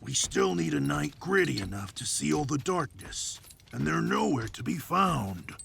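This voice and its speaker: Big jolly folksy warrior from a video game, gravelly, really lively pitch. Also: male voice, a little slow, slight background noise, full character voice. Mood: hearty, frank. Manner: jovial, booming, folksy. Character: big, hearty, good-natured male warrior champion. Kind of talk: video game cutscene acting.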